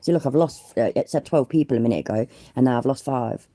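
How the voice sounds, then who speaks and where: A woman's voice: extremely low pitch, fast talker, mellow, no room sound. A chatty woman with a stuffed-up cold, hosting a casual livestream on her phone and swearing freely. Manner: chatty, sweary, self-deprecating. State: congested with a cold. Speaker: livestream host. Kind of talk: casual live stream chat.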